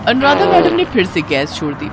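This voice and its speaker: A woman narrating a scripted animated moral story as storyteller, in an expressive, dramatized way. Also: fairly low pitch, fairly quick, creaky, very noisy.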